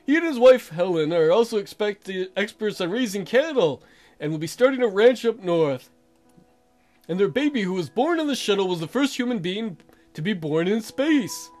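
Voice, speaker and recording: An upbeat male colony mayor, put on by a man reading a visual novel. He speaks high and projects like a folksy public announcer. Music plays underneath. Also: expressive, moderate pace, a little mellow. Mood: announcing.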